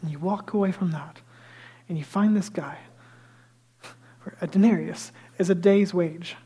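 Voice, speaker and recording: Male pastor, high light voice, lively conversational sermon, hushed asides. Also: measured pace, breathy edge. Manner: lively storytelling that drops to hushed, near-whispered asides; self-deprecating and conversational.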